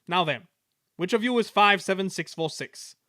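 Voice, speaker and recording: A pair of cheeky little engines with a Scottish accent, sly and sing-song, as a man puts them on. Their voices leap high and lively in a storybook reading. The sound is dry and close. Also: moderate pace, no room sound. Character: two cheeky Scottish male twin engines.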